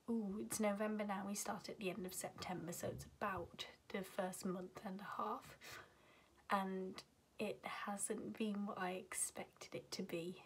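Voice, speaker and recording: Young uk student girl, raspy sick voice, kinda gravelly and breathy, chatty vlog from her bedroom. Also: mid-range voice, slight background noise. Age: young adult. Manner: chatty, self-deprecating, often half-whispered. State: raspy voice from being ill. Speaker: university student vlogger. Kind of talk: casual chat to camera. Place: bedroom at home, indoors.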